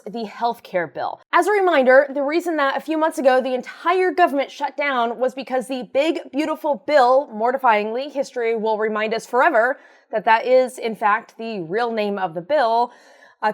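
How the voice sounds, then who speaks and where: A fairly high-pitched female voice presents the news as commentary. She hosts in a conversational, informal register, with a sardonic edge and wry asides. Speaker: host of a news commentary show.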